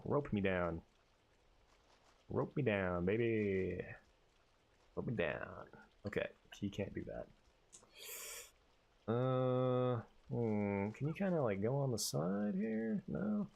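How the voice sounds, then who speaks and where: A man's voice: mid-range voice, lively intonation, slow, gravelly, long pauses, highs cut off. A man casually commentating his gameplay, cursing and rambling with self-mocking jokes. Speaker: let's player.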